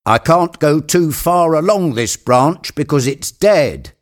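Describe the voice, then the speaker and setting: A man's voice: fairly high pitch, animated, slow pace, pitched above the performer's own voice. A man voicing a reluctant, wary male servant, hesitant and protesting, in a storybook tale.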